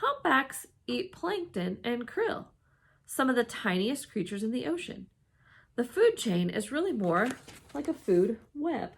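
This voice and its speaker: Woman, highish voice, very lively intonation, dramatic children's read-aloud narration. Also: measured pace, creaky, husky. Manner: dramatic, with emphatic exclamations and hushed suspense. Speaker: storybook reader.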